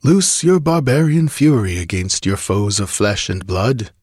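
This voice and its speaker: A man's voice: on the low side, lively intonation, unhurried, a little mellow, breathy edge, no echo, clean studio sound. Ancient spectral wizard, acted by a male narrator: sepulchral, archaic and imperious, storybook fantasy grandeur. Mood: grave warning. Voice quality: sepulchral, ghostly tone. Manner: grandiose, archaic, oratorical delivery. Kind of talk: dramatic audiobook fantasy reading.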